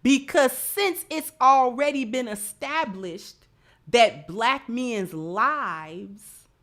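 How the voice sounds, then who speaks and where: A woman's voice: on the high side, expressive, very slow, fairly bright tone. A woman giving opinionated live commentary as a host, emphatic, repeating phrases and posing rhetorical questions. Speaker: livestream host.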